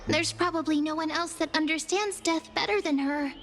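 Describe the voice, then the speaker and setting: A woman's voice: sits high, normal speed, fairly bright tone, a little hiss or hum. An actress playing a bubbly, caring young girl companion who speaks eagerly and is anxious to please. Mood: concerned.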